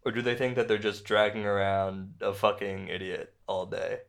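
An actor playing an insecure, self-pitying stand-up comedian in a radio play, speaking in a halting, conversational way. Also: male voice, lowish voice, steady tone, creaky. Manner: halting, conversational, with repeated words. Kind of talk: naturalistic radio play dialogue.

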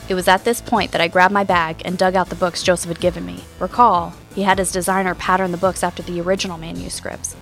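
Actress as lady podcast investigator, lowish voice, measured n wry mystery narration, soft music underneath. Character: thoughtful, inquisitive female radio host and podcast investigator. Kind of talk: scripted first-person mystery narration.

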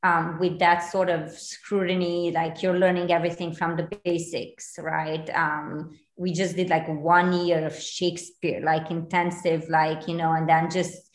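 A woman's voice: fairly low pitch, little pitch movement, a little slow, few pauses. Romanian-born woman in her 40s chatting about her work, lots of ums and restarts, roomy echo. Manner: chatty, many fillers and restarts. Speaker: guest, realtor. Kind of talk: casual interview. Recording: echoey room.

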